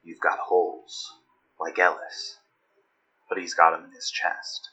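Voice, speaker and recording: A man's voice: deep voice, completely flat delivery, a little slow, sharp tone, breathy edge, heavily acted. A man voicing a haunted, secretive woman coworker in a horror audio drama, speaking in a grave, confiding way. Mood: hushed, knowing. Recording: music bed under voice. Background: background music.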